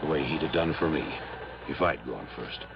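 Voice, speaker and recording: Deep-voiced grieving old-time miner, muffled recording. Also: male voice, moderate pace, gravelly. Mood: grieving, resigned. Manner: emotional, folksy, repeats himself. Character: grieving older miner mourning his dead partner. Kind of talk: 1950s-60s radio drama acting. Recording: muffled, noisy vintage radio recording.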